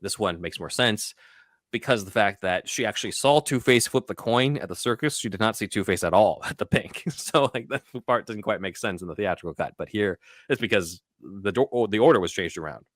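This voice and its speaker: A man's voice: lowish voice, animated, fairly quick, no echo, studio quality. A man hosting a podcast, talking casually through a film scene by scene. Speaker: podcast host.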